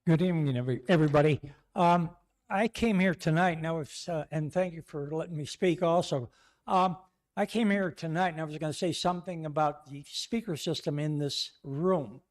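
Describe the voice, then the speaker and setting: A man's voice: fairly high pitch, moderate pace. A man speaking as a public commenter at a town board meeting, rambling and tagging his points with "okay". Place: town hall meeting room. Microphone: podium microphone.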